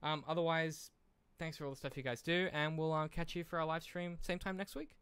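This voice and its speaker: A man's voice: on the high side, fairly even intonation, fairly quick, a bit bright, clean tone, no echo. A man co-hosting a podcast, chatting casually in a rambling, discursive way with the odd swear word. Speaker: podcast co-host. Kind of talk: casual conversational podcast chat.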